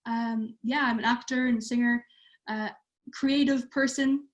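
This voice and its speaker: A woman's voice: fairly high pitch, steady tone, measured pace. A teenage girl from Ireland, chatting casually on a livestream and using many fillers. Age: teens. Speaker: youth theatre participant.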